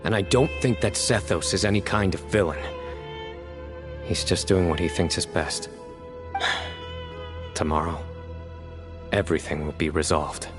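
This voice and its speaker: A grave young desert warrior in a game, with a very low voice and a measured, serious way of talking. Soft game music sits under him. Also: male voice. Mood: solemn. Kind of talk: game voice acting.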